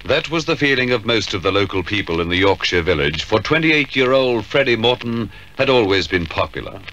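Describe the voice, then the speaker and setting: A man narrates an old radio crime play. His voice is low and his delivery formal and dramatic, with faint noise on the vintage recording. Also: lively intonation, fairly quick, bright, forceful tone, lo-fi sound.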